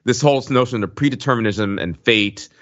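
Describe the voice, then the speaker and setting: A man co-hosting a podcast, explaining things casually in a halting way, repeating words as he forms thoughts. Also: mid-range voice, fairly bright tone, no echo, muffled recording. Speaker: podcast co-host.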